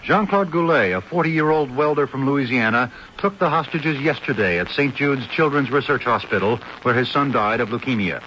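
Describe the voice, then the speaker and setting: A man reporting for a radio news network, reading a newscast in a crisp, clipped way on a dull, lo-fi recording. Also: mid-range voice, moderate pace, hardly any pauses, pushed and bright, a little hiss or hum.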